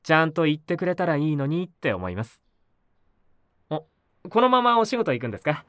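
A woman voicing a polite, mild-mannered young male neighbour in an audio drama, speaking softly and conversationally to the listener. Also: low voice, sing-song, fairly quick, dry recording, studio quality. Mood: gentle, calm. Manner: polite, soft-spoken, conversational. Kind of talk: Japanese audio drama acting, spoken to the listener.

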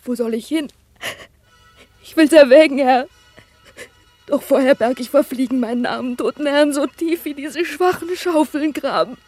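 A woman playing a grieving, devoted young page in a radio drama, mournful and deliberate. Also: high-pitched, darkish tone, no room sound, soft background music, lightly acted. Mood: sorrowful resolve. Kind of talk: classic radio drama acting.

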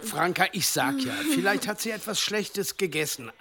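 A man's voice: high voice, big pitch swings, moderate pace, bright, forceful tone, exaggerated voice. An actor playing a weary, grumbling but concerned village man in a radio play. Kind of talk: German radio play acting.

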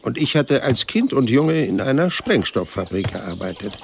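Wry, creaky hundred-year-old man. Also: medium pitch, moderate pace, slight background noise. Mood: matter-of-fact. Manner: dry, deadpan, matter-of-fact storytelling. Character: wry hundred-year-old Swedish man, stubborn former explosives expert. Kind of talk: first-person audio drama narration.

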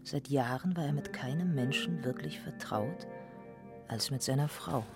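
Casting: male storyteller for classic literature audio drama, medium pitch, music behind. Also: normal speed, creaky. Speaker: narrator. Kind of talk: literary radio play narration.

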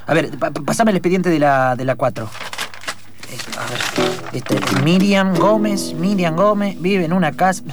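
A man playing an excitable, stubborn police detective with an Argentine accent in improvised comic radio drama, repeating himself haltingly. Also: on the high side, loud music behind the voice, lightly acted. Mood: agitated. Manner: repetitive, halting, colloquial Argentine phrasing.

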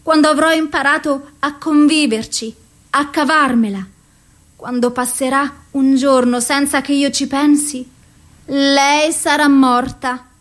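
A woman acting an anguished, mentally ill, lovelorn woman in a theatrical dramatic monologue, with an intense, deliberate delivery. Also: high-pitched, fairly even intonation, a bit bright. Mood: brooding grief.

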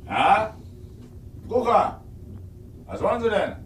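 An actor playing an impatient, domineering ship's officer in a radio drama, speaking in curt commands. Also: male voice, sits high, big pitch swings, takes it slowly, full character voice. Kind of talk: 1950s radio drama acting. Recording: slightly noisy 1950s radio recording.

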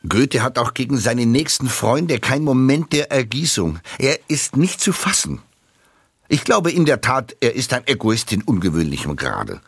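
A man recites literary quotations in a medium-pitched voice with no room sound. His delivery is deliberate and scornful, as in a radio feature. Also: very animated, breathy edge, no echo.

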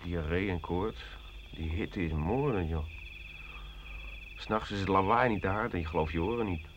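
An actor playing a rough, talkative soldier turned drifter, world-weary and colloquial, in a Dutch radio play. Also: male voice, low-pitched, moderate pace, natural voice. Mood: weary, disgusted. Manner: colloquial, hesitant, chatty storytelling. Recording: dull, noisy old radio recording.

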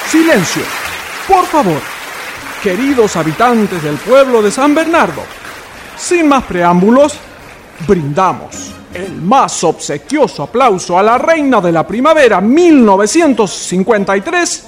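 Grandiloquent festival MC acted by a man, radio drama, high soaring voice, music and crowd behind. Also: big pitch swings, measured pace, slight background noise. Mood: ceremonious. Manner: grandiloquent, declaiming. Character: pompous master of ceremonies at 1950s festival.

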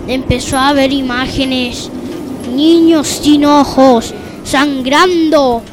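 A female student improvising a spoken horror story as narration, halting as the phrases get repeated and corrected, with loud music under the voice. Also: sits high, fairly even intonation, background noise. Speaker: student storyteller in a radio theatre workshop.

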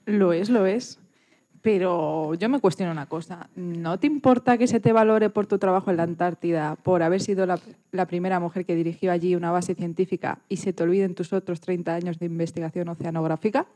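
A woman's voice: fairly low pitch, steady tone, fairly quick, dark tone. A woman from asking questions as the interviewer in a scripted radio interview.

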